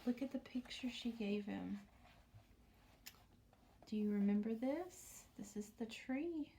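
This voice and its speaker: A woman teacher reading a picture book aloud to young children, commenting and asking questions, often dropping into a hushed near-whisper. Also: fairly high pitch, lively intonation, slow pace, slight background noise. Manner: asks the listening children questions.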